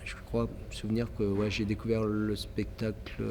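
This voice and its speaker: A man's voice: lowish voice, flat delivery, normal speed, a little mellow, slight background noise. A man with a head cold, an author, actor and stage director, talking hesitantly in an interview. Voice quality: hesitant, many fillers and restarts.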